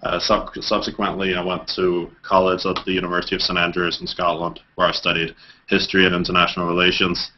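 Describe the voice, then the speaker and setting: A man from Denmark in his thirties, answering interview questions over a video call. His voice sits very low, and he stumbles through restarts and fillers. The audio is dull and lo-fi. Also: monotone, fast talker, slight background noise. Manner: hesitant, many fillers and restarts. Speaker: interview guest.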